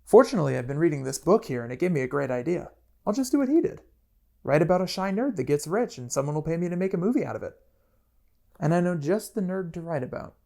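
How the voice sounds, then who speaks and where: A man's voice: fairly high pitch, animated, quick pace, vocal fry, a little mellow. A man narrating a scripted video essay in a wry, casual storytelling manner, with dramatic touches. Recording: music playing behind voice.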